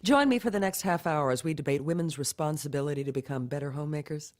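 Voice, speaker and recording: A woman's voice: low voice, fast talker, darkish tone, natural voice. An actress playing a polished, zealous conservative woman television evangelist, speaking emphatically in show and rally style. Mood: bright, polished. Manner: emphatic, folksy, performative. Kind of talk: show presenting and rally speechmaking.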